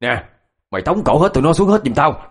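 A man voicing a gruff, irritable bus driver who barks and grumbles in an audio story. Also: on the low side, lively intonation, moderate pace. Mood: irate. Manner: barking, grumbling. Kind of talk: audio ghost story acting.

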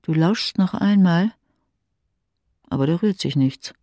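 A man with a creaky voice narrating a literary audiobook in the second person. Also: a little high, takes it slowly, warm tone, no echo, studio quality. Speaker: audiobook reader.

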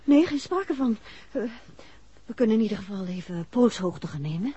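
An actress playing a watchful, plucky detective's wife who speaks attentively and cautiously in a 1960s Dutch radio drama. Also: female voice, medium pitch, animated, moderate pace, mellow, breathy edge. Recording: dull 1960s radio recording, faint noise.

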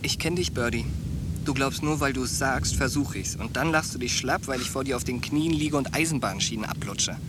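An actor playing a tough, streetwise young soldier, blunt and slangy, in a German radio drama. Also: male voice, medium pitch, moderate pace, noisy background, natural voice. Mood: taunting. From United States, Philadelphia. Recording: studio drama with music bed.

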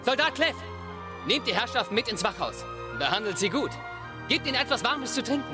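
A brisk, decent lieutenant commands the gate in a high voice that swings widely. It is radio drama acting, with music behind. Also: male voice, big pitch swings. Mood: brisk, helpful.

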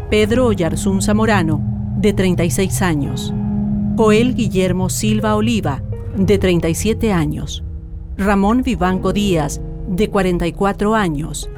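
A woman's voice: medium pitch, unhurried, music in the background, lightly acted. A woman narrating a radio docudrama in a solemn, scripted style. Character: solemn female narrator recounting the dead. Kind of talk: scripted documentary drama narration.

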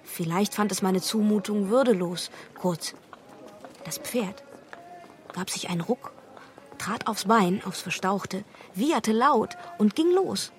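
Slow, tender poetry recital. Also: female voice, medium pitch, lively intonation, soft background music. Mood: tender consoling.

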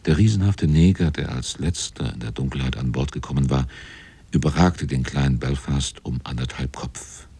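An actor narrating a radio play as a reflective seaman, in a dark, husky, measured voice. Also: very deep voice, no room sound, exaggerated voice. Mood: reflective, wry. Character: reflective seaman recounting his shipmates' voyage.

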